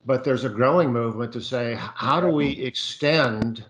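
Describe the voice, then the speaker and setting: A man on a virtual panel, his voice in an ordinary middle register. He talks expansively, thinking aloud and filling pauses with small fillers as he builds his point over a video call. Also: mid-range voice, noisy background.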